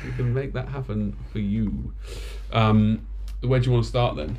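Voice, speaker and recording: A middle-aged man hosting a podcast in casual chat, talking at a steady, even loudness. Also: lowish voice, normal speed, dull audio, slight background noise. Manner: steady, even loudness by his own account. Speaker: podcast host.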